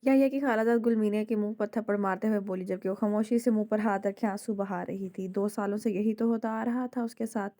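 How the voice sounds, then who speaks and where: A woman with a sore, hoarse throat reading a romance novel aloud with dramatic storytelling. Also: mid-range voice, mellow. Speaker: novel narrator.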